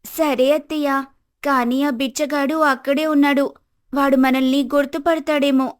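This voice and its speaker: A woman plays a high-pitched young daughter-in-law in a village moral story. She is anxious and obedient, speaking in a worried, pleading way. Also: fairly even intonation, moderate pace, natural voice. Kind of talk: Telugu moral-story character acting.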